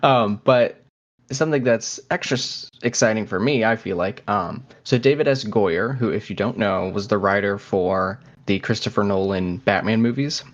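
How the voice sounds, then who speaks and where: A man's voice: lowish voice, moderate pace. A man co-hosting a podcast in a casual, conversational style. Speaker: podcast co-host. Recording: slightly dull, lo-fi sound.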